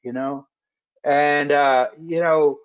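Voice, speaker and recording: A man hosting a webcast interview in casual chat, halting with many fillers and restarts. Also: a little high, steady tone, clear, resonant tone. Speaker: webcast host. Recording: dull lo-fi webcast audio.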